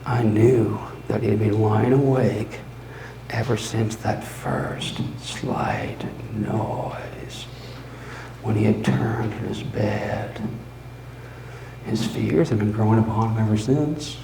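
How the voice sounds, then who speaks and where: A man voicing a mad, obsessive murderer confessing his crime in a dramatic gothic horror reading, halting and theatrical, moving between breathy whispers and loud outbursts. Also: lowish voice, fairly even intonation. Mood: mocking glee. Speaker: storyteller. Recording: roomy live recording with faint noise.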